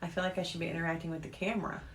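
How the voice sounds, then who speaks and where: A woman's voice: on the low side, level tone, very fast. A middle-aged American woman co-hosting a podcast in casual, bantering conversation. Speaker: podcast co-host. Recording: echoey room, faint background noise.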